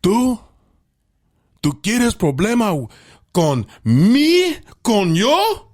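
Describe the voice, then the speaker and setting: A man voicing a brash retired male wrestler, dropping his deep voice low and barking mock-Spanish taunts. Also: huge pitch swings, extremely slow, exaggerated voice. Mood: swaggering.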